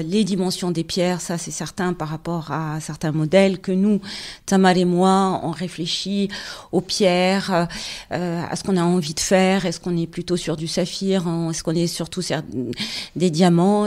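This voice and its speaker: Unhurried middle-aged woman from Morocco. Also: fairly low pitch, darkish tone. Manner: measured and unhurried, pausing often to find words. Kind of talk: casual interview, explaining her trade.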